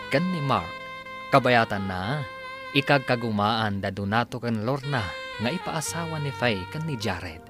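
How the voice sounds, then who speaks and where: Man, radio drama announcer, scripted dramatic recap, animated, medium pitch, clear music underneath.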